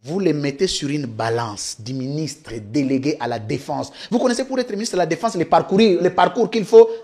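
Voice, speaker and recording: A man's voice: fairly high pitch, lively intonation, normal speed, darkish tone. Insistent man in a heated debate. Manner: insistent, emphatic, talks over the host. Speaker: political guest.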